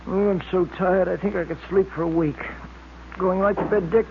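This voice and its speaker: High-pitched radio sidekick, tinny recording. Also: male voice, steady tone, moderate pace. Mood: weary, joking. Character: weary, good-natured male detective sidekick. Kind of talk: 1940s radio serial acting. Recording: scratchy, narrow old radio recording with hiss.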